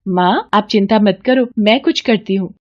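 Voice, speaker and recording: A woman's voice: mid-range voice, normal speed, highs cut off, natural voice. A woman voicing a caring, confident young daughter in a cartoon story. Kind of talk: Hindi cartoon story acting.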